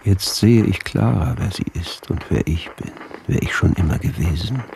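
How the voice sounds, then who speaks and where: Deep, gravelly, deranged fanatical doctor. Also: male voice, normal speed, background noise. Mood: brooding obsession. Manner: solemn, portentous, obsessive. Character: deranged, fanatical doctor writing diaries, biblical delusions. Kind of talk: German radio drama monologue, scriptural declamation.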